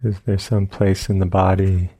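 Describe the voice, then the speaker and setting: A man leading a guided meditation as a teacher, speaking gently in short phrases with long, unhurried pauses. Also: low-pitched, little pitch movement, no room sound. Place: retreat center meditation session.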